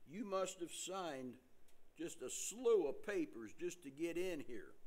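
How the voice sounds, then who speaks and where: Older man acting wary overprotective relative, stage reading, fairly high voice, slow, earnest. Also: lively intonation, a little mellow. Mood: suspicious. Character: suspicious, overprotective visiting male relative. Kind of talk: amateur staged play reading.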